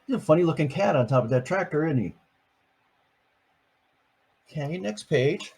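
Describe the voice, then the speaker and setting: Older man, grandfather type, husky and lively, reading a picture book to the grandkids, playful asides. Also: on the high side, moderate pace. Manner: playful, chatty, asks the listeners questions.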